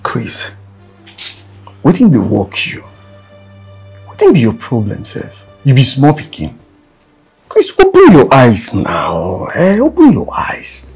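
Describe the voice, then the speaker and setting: An actor playing a blunt young friend lecturing in Nigerian Pidgin, with a Nigerian accent. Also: male voice, mid-range voice, huge pitch swings, takes it slowly, long pauses, darkish tone, husky, faint music behind, exaggerated voice. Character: blunt young male friend giving hard advice.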